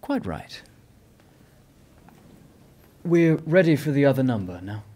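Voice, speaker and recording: A man acting a knowing angel who guides the dying in a radio play, measured, consoling and gently amused. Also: medium pitch, sing-song, slightly rough, exaggerated voice. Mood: tender.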